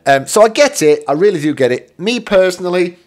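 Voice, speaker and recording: Chatty middle-aged guitar teacher. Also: male voice, high-pitched, lively intonation, brisk. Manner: chatty, self-deprecating, rambling with fillers. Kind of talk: casual spoken tutorial. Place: small home studio, indoors. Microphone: studio condenser mic in front.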